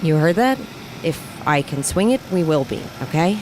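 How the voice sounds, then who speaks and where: A woman's voice: sits low, animated, normal speed, darkish tone, a little hiss or hum. A woman playing a skeptical, self-protective guardian with a firm, curt manner in a radio drama. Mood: firm, guarded.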